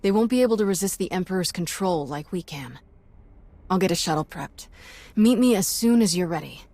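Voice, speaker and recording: An actress playing an earnest, spirited warrior companion in a video game, talking with urgency. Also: female voice, on the low side, lively intonation, fairly quick, mellow, dry recording. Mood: urgent, determined.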